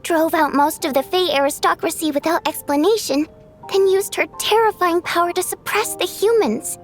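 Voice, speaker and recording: A woman's voice: extremely high pitch, normal speed. A woman voicing an earnest, tender-hearted young girl, innocent and thoughtful, in a video game dub. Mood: pensive, questioning. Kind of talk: English game dub voice acting. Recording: music under the voice.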